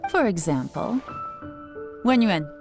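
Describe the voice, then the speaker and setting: A woman's voice: fairly low pitch, sing-song, slow, music in the background, full character voice. A woman playing a cool, shrewd, authoritative spymaster in a video game, composed and in command. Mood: confident, instructive. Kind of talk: video game character acting.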